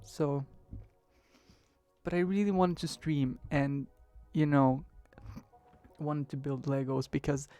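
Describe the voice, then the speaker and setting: Hoarse young man chatting on stream. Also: fairly high pitch, steady tone, slow, long pauses, mellow, no echo, soft background music. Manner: rambling, chatty, laughs easily. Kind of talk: casual live-stream chat. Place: home bedroom.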